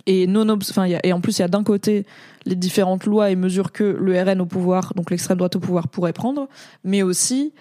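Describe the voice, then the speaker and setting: A woman's voice: on the low side, a little fast, dark tone, no echo, clean studio sound. A woman from France giving casual, slangy commentary as a streamer reading chat, talking in a rambling way with frequent hesitations.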